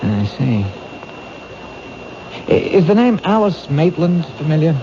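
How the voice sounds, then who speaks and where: An actor playing an earnest, protective police detective in a 1970s radio drama, friendly and a little stammering. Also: male voice, a little high, sing-song, slow pace, mellow, full character voice. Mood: probing. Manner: friendly, stammers when nervous. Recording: dull old radio broadcast with hiss.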